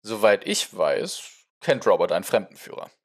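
A man's voice: on the low side, sing-song, moderate pace, slightly rough, pitched below the performer's own voice. A man voicing an exasperated, nerdy male researcher with a dry, lecturing manner in an audiobook. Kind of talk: audiobook character acting.

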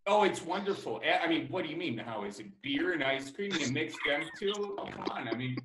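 A man's voice: on the high side, expressive, lightly acted. A man putting on a folksy Wisconsin character who keeps adding "okay?", with a Wisconsin accent. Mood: hammy. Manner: folksy, drawn-out, tag questions. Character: folksy Wisconsin man, beer-loving, simple. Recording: echoey room, faint noise.

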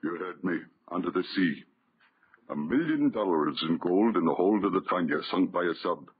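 An actor playing a salty, cocky sailor tipster in a 1930s radio drama, sly and goading, with a rough husky voice. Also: male voice, low voice, lively intonation, normal speed. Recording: tinny, narrow 1930s radio recording.

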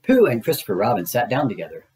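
Expressive man narrating a children's storybook. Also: medium pitch, fast talker. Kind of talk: children's read-aloud narration.

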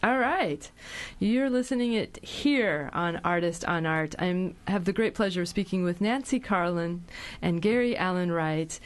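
A woman's voice: lowish voice, unhurried. An American woman hosting a casual radio interview, conversational and often rephrasing as she goes. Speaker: radio show host. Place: radio studio. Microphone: studio broadcast microphone.

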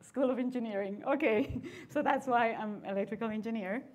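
A woman's voice: a little high, fairly quick, a little mellow. A woman from Mexico speaking as a venture capitalist on a conference panel, chatty and laughing lightly between phrases. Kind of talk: panel discussion. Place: conference stage seated in armchair.

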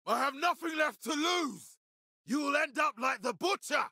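A furious militia boss from a video game. His voice is raised high and strained, gravelly and harsh, with bombastic menace and big swings in pitch. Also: animated, measured pace, no echo, studio quality, full character voice. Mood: desperate, betrayed. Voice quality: raspy, strained shouting. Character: wrathful, vengeful militia leader turned desperate boss enemy. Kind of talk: video game combat barks.

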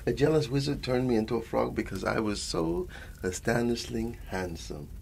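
Man voicing a hushed, creaky, sly frog prince. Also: medium pitch, expressive, normal speed, slight background noise. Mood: smug, sly. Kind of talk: ASMR storybook character voice.

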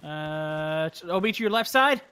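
Bright, high-voiced man gaming with friends. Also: animated, a little slow, clear, resonant tone. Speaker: gamer playing with friends. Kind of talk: casual multiplayer gaming chat.

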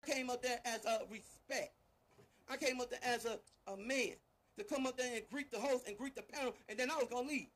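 A man's voice: very high-pitched, fairly quick, fairly bright tone. A man arguing heatedly and confrontationally in a casual online live-stream chat, repeating himself for emphasis.

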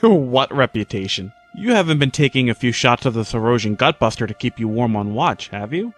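A man's voice: mid-range voice, lively intonation, a little fast, soft background music, close to an everyday voice. A man playing a wry, reasonable spaceship crewman in an audio drama. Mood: teasing. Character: wisecracking but reasonable male spaceship crewman.

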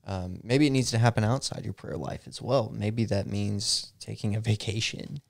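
A young man with a lowish, gravelly voice chatting on a podcast. He talks in an animated way, laughs easily and keeps checking in with "right?". The sound is dry and close on a boom-arm mic in a small room. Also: normal speed, dry recording. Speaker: podcast co-host. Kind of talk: casual chat. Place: small home studio room.

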